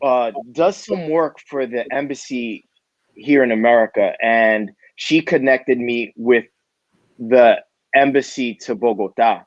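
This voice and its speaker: A man's voice: mid-range voice, unhurried, no echo. Laid-back New man, dry muffled audio. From New York City. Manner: relaxed, candid, streetwise slang. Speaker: guest DJ. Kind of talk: casual interview chat.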